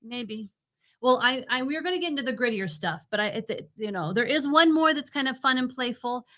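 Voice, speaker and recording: A woman's voice: on the high side, lively intonation, brisk, sharp tone. A woman co-hosting a TV review show, chatting casually and unscripted in an opinionated, emphatic way. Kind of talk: casual unscripted chat, presenting a ranked list.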